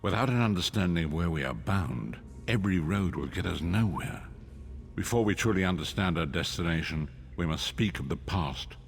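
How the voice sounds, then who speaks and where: An actor playing a frail, blind old prophet and mystic guide in a fantasy video game, speaking gravely in archaic, oratorical phrases. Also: male voice, sits low, animated, moderate pace. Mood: solemn, inviting. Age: older. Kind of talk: dramatic fantasy game voice acting.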